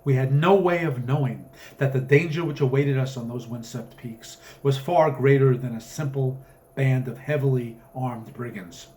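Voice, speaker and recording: A man's voice: medium pitch, moderate pace, a little mellow, close to an everyday voice. A man voicing a pompous old colonel who tells florid, melodramatic adventure tales in a storybook reading. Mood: grandly ominous.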